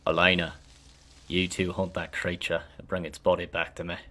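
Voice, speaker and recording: A man's voice: deep voice, monotone, normal speed, sharp tone, voice pushed up. A man voicing an older male ranger leader from a fantasy story, giving terse, firm orders. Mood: commanding. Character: eldest ranger, tall commanding male leader.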